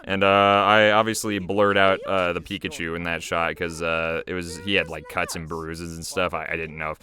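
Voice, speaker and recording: A man giving informal, off-the-cuff commentary as the creator of an animated show. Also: sits low, moderate pace. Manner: informal, chuckling, hesitant. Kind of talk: casual commentary.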